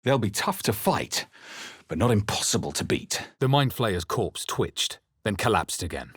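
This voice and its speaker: A battle-hardened hero of a fantasy video game, brooding and measured. The voice is fairly low and husky, recorded very dry and close. The delivery is dramatic, like a man who has seen many fights. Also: sing-song, a bit bright, no room sound, heavily acted. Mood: grave, intent. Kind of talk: dramatic fantasy game voice lines and first-person narration.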